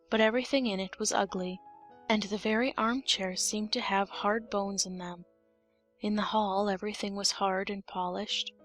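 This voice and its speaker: A woman's voice: medium pitch, fairly bright tone. A woman reading scripted storybook narration as an audiobook narrator.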